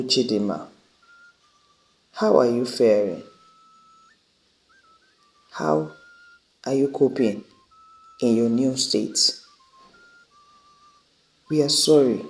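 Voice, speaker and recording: Storybook eldest brother read by a man: remorseful, caring, mid-pitched, measured and very unhurried. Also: expressive. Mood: apologetic, gentle. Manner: earnest, apologetic, measured.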